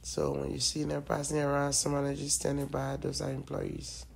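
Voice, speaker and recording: A woman from Liberia, narrating casually over store footage with relaxed, hesitant fillers. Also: sits low, normal speed, gravelly, a little hiss or hum. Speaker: vlogger.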